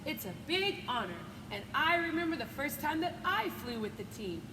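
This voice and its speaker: A woman's voice: high voice, big pitch swings, normal speed, pitched above the performer's own voice. A woman voicing a kindly, reassuring reindeer father in a children's storybook. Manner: reassuring, proud. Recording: echoey noisy room.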